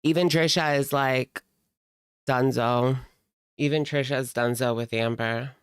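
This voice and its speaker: A woman streamer reacts casually with snark and plenty of slang. Her voice is clean and smooth, set in a dry, close sound, and she drags out words to make a point. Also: extremely low pitch, steady tone, no room sound. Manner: snarky, slangy, drawn-out emphasis. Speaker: reaction streamer commenting on a video.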